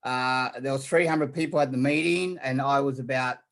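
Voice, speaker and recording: A man's voice: mid-range voice, fairly even intonation, moderate pace, a bit bright. A man from Australia, a guest in a casual online interview, talking in a rambling way with many fillers and repeated words.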